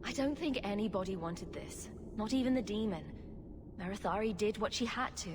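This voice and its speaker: A woman's voice: mid-range voice, expressive, normal speed, lightly acted. An actress playing a sarcastic adventurer woman with a direct, sardonic manner in a fantasy video game. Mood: sober. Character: wry, sarcastic adventurer woman, a rogue hero. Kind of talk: video game dramatic acting. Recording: faint background ambience noise.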